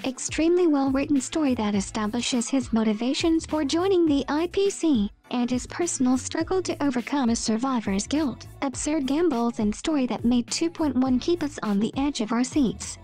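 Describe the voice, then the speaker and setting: A woman's voice: high-pitched, lively intonation, normal speed, hardly any pauses, warm tone. A woman doing a scripted meme voice-over, reading out captions and short lines. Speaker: meme video narrator. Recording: music under the voice. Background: background music.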